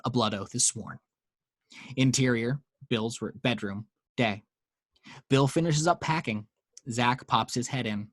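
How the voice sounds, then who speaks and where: A man's voice: medium pitch, measured pace, pauses often, a bit bright, no echo. A man reading action descriptions as narrator in a dramatic screenplay table read. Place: at home.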